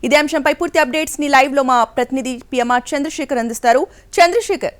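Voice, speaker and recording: A woman's voice: high-pitched, quick pace. A woman news anchor. She delivers breaking news in a scripted bulletin style.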